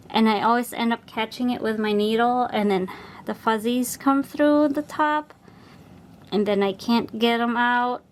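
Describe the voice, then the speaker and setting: Filipino woman in her 40s, slow and chatty, hobby vlogger muttering while she stitches. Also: fairly high pitch, a little hiss or hum. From Philippines. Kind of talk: casual stitch-along chat.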